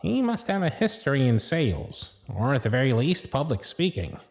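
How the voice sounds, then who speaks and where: A man playing a gruff but fond elf workshop supervisor in an ASMR roleplay, with a bossy, teasing, sardonic way of talking. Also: medium pitch, sing-song, moderate pace, dry recording, studio quality, soft background music. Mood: musing, admiring.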